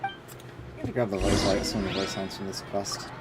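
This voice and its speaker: A man's voice: low voice, fairly even intonation, brisk. A man streaming a game, commenting casually in an offhand way, half muttering to himself. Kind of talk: casual livestream commentary on gameplay. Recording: game music behind the voice.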